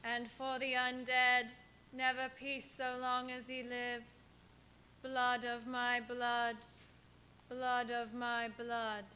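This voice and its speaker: A woman's voice: fairly high pitch, monotone, takes it slowly, bright, forceful tone, slight background noise. An actress playing a haunted young wife in a radio play, telling her story with dramatic suspense. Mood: entranced. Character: haunted, entranced young wife writing a journal. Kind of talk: live radio play acting.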